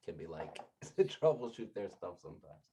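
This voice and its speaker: Low-voiced man in a casual work call. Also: very animated, moderate pace, vocal fry, dark tone, a little hiss or hum. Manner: rambling, self-interrupting, laughs often. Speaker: meeting participant. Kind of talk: casual work meeting chat. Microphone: laptop speaker mic on video call.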